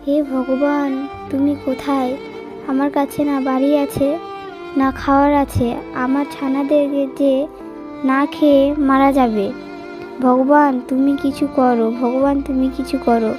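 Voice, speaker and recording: A woman's voice: high-pitched, little pitch movement, measured pace, long pauses, over music. A woman voicing a poor, anxious mother bird in a cartoon, with a pleading, plaintive manner. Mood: desperate pleading. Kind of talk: cartoon character dialogue.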